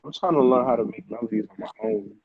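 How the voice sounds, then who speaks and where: A man's voice: medium pitch, fast talker, slightly rough, dry recording. A man, talking casually as an interview guest in slangy, repetitive phrasing, on a dull lo-fi recording. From Honduras, moved to the Bronx at eight. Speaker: music producer, interview guest.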